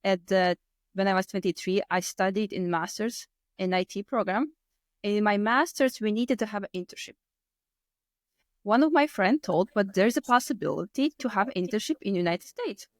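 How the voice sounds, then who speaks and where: A woman's voice: mid-range voice, normal speed, no echo. Latvian-accented woman in her thirties, dry sound. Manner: non-native English with loose grammar and word order. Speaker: podcast guest. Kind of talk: casual personal storytelling in interview.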